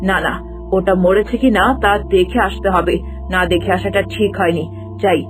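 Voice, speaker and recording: A woman's voice: medium pitch, close to an everyday voice, poor audio. A woman voicing a cruel, scheming middle-aged stepmother in a cartoon, venomous and gloating as she talks to herself. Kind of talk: cartoon voice acting. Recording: music bed underneath.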